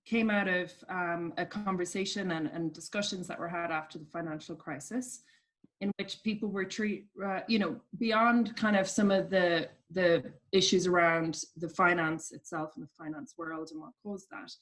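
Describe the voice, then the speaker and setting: A woman with an Irish accent giving reflective, explanatory answers as a guest in an interview, pausing as she phrases her thoughts. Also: lowish voice, fairly even intonation, fairly bright tone. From Ireland. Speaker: interview guest, coach at a business charity.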